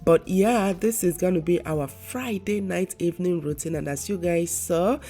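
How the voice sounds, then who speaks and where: A stuffy-nosed woman with a heavy head cold chats to her viewers in a rambling, friendly way. Her voice sits a little low and sounds mellow and dark. Soft music plays faintly underneath. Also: moderate pace. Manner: chatty, keeps calling viewers "guys", rambling with fillers. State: congested, stuffy nose from a severe cold. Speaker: lifestyle vlogger. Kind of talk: casual chatty voice-over to viewers. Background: faint background music.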